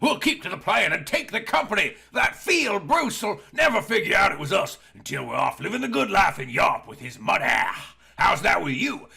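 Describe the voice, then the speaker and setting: An older father, acted out by a male storyteller blusters and wheedles in a raised, husky voice. He is a sniveling schemer, wavering and wildly animated. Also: sits high, normal speed, voice pushed up, exaggerated voice. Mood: wheedling.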